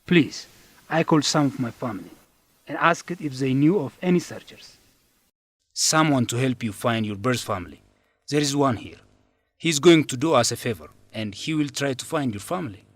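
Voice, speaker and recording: Actor as young man, manipulative student politician, defensive, insistent, very animated pitch, dry studio radio drama. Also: normal speed, no room sound, close to an everyday voice. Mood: pleading. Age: young adult. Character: controlling, scheming male student leader, pleading then spiteful. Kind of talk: educational radio drama acting.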